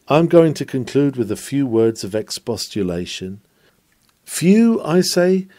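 A man reads a nineteenth-century sermon aloud with the fervor of a pulpit preacher. His voice is mellow and darkly toned, sitting at an ordinary pitch. He drives hard at the exclamations and rhetorical questions. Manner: declamatory, rising emphasis on exclamations. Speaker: reader of a sermon. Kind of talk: dramatic sermon reading.

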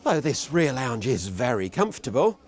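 A man's voice: medium pitch, normal speed. Wry man touring a motor show. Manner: wry, dry humour, animated delivery. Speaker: motorhome reviewer. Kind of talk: presenting a walkaround video. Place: large indoor exhibition hall.

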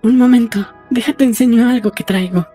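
A woman playing a brisk, proud, rebellious young lover in chatty, conspiratorial audiobook dialogue. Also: on the high side, warm tone, breathy edge, faint music behind. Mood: excited, proud.

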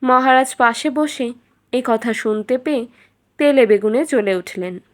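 A woman tells a children's fairytale as a narrator. Her voice is fairly high, and she reads in a scripted storytelling style. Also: unhurried.

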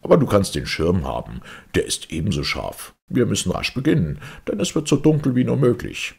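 A man's voice: lowish voice, sing-song, brisk, gravelly, a little mellow, husky, pitched above the performer's own voice, heavily acted. A man voicing a pompous, blustering, childish storybook twin brother, scornful and self-important. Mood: urgent.

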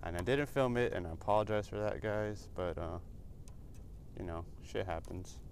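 A man's voice: lowish voice, moderate pace, a little mellow. An American man in his twenties chatting casually to camera as a vlogger, laid-back and rambling. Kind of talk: casual vlog chat to camera. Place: inside a small car and outdoors in open parking lots. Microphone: action camera at arm's length or mounted. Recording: noisy action camera, car and outdoor ambience.

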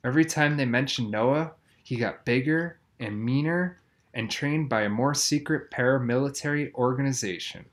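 A man's voice: mid-range voice, normal speed. A man reading a children's novel aloud, telling the story expressively with plenty of emphasis. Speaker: audiobook reader.

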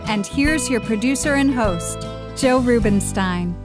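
A woman's voice: fairly high pitch, unhurried. A woman reading scripted announcements for a podcast. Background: music.

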